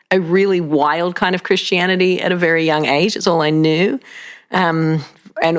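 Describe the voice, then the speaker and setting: Chatty Australian woman, lowish voice. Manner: lively, self-mocking storyteller. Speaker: guest, co-lead pastor. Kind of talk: casual interview chat. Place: a private home.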